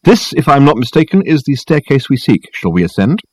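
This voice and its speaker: A man's voice: medium pitch, very animated, brisk, breathy edge, no echo, voice pushed up. A man voicing a flamboyant, pompous detective in an audiobook, lecturing theatrically and emphatically. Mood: grand, emphatic. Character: flamboyant, pompous self-styled detective, grandly professorial man. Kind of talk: dramatised audiobook dialogue.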